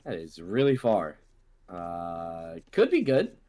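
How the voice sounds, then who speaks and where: A man's voice: deep voice, huge pitch swings, takes it slowly, dark tone. A man with a cold co-commentating a strategy game match, upbeat and chatty. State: sick with a cold, deeper voice than usual. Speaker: co-caster.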